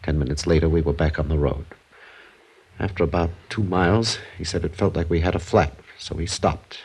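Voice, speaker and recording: An American actor playing a weary, bitter, soft-mannered ex-con drifter near sixty in a 1950s radio crime drama. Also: male voice, sits low, steady tone, moderate pace, slight background noise. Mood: weary recounting.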